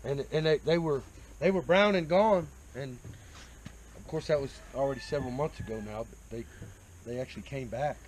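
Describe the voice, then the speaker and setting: A man's voice: mid-range voice, normal speed, darkish tone, low-quality recording. An American man chatting casually and explaining outdoors on a farm, often repeating his phrases, picked up by a nearby action camera. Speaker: homesteader showing his farm. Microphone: picked up by a nearby handheld action camera.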